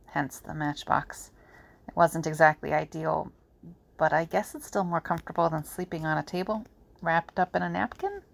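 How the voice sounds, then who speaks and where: A woman playing a gentle, matter-of-fact caretaker friend in an audio drama. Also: deep voice, normal speed, creaky. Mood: gentle, explaining.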